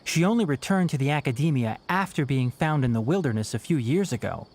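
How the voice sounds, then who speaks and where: An actor playing a calm, caring, discreet scholar in a video game, speaking in a measured, reassuring and articulate way. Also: mid-range voice. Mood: explaining. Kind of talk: scripted video game dialogue.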